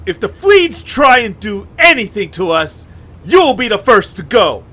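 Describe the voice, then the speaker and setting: An actor playing a hot-headed young leader in a fan audio drama, forceful and bossy. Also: male voice, high-pitched, very animated, unhurried, exaggerated voice. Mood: commanding. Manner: forceful, commanding and angry.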